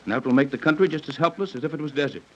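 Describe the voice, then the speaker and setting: A man playing a steady, fatherly American captain in a 1930s radio serial, earnest and reassuring. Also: fairly high pitch, very fast. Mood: grave. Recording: dull lo-fi 1930s radio recording.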